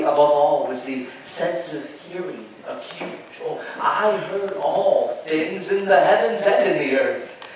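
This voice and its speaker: A male stage actor playing a nervous, gloating murderer in a theatrical gothic horror monologue, halting and stammering as he insists he is sane. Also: a little high. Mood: nervous, defensive. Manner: halting, stammering, drawn-out phrases with sudden emphasis. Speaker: stage actor performing a dramatic reading. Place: live performance space at an amusement park show. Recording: echoey live show, distant mic, faint noise.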